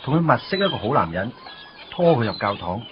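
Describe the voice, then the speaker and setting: A man's voice: medium pitch, very animated, a little fast, background noise, close to an everyday voice. An actor playing a conflicted, protective young man in a Cantonese radio drama, with a casual, brooding Hong Kong delivery. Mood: defensive, heated. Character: conflicted young man, protective of his first love, evasive. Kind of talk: radio drama dialogue and inner monologue. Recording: muffled radio drama with music bed.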